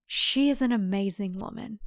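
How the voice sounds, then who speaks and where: Woman doing a smooth controlling cult husband in a thriller story, clear, dry recording. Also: mid-range voice, moderate pace, no echo, natural voice. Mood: proud, measured.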